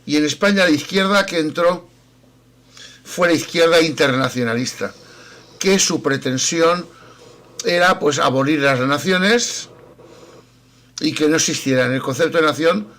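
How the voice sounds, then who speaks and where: A man's voice: on the high side, measured pace, long pauses, fairly bright tone. A man from Spain in a video-call debate who lays out his arguments firmly, in a lecturing way. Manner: firm, declarative, lecturing. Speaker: debate participant.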